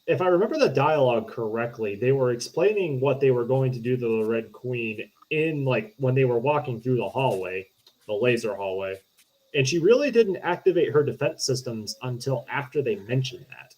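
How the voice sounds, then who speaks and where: Medium-pitched man discussing casually. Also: expressive, normal speed, a little mellow, low-quality recording. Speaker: podcast co-host. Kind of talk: casual film review chat.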